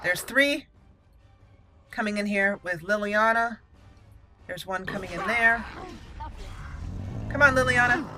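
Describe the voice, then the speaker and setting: Woman narrating gameplay over loud game music. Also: mid-range voice, takes it slowly, pauses often. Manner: thinks aloud, narrating each move. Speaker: gaming channel host. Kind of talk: casual gameplay commentary.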